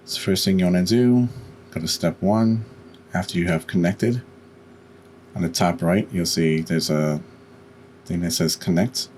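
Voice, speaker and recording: Male tutorial narrator, deep register, halting step-by-step instruction, noisy home recording. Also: darkish tone. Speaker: tutorial host.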